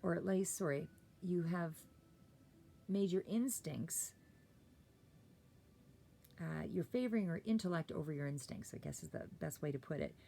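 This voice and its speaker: A woman doing a casual, rambling tarot reading, a little hoarse and clearing her throat, with many fillers and restarts. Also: fairly low pitch, moderate pace, pauses often, dark tone, slight background noise. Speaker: tarot reader.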